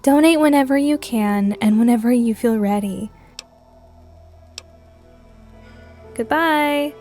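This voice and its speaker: A woman plays a caring female doctor in a video game. Her voice sits a little high. Music plays clearly behind her lines. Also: moderate pace, darkish tone, close to an everyday voice. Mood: grateful. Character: caring female doctor running treatment experiments. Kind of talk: video game character dialogue.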